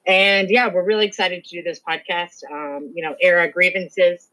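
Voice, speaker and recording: A woman's voice: lowish voice, fairly even intonation, moderate pace, sharp tone, low-quality recording. A woman in her thirties from Louisville with a Kentucky accent, co-hosting a casual podcast in a sociable, laughing way. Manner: friendly, sociable, laughs often. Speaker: podcast co-host.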